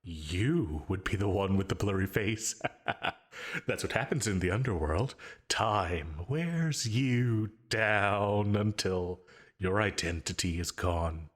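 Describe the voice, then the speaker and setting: Man playing a slow, taunting, possessive demon. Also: lowish voice, exaggerated voice. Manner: slow, drawn-out taunting with dark chuckles. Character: possessive male sleep paralysis demon, gleefully menacing, taunting. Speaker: voice actor in audio roleplay. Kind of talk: ASMR yandere roleplay, dramatic monologue.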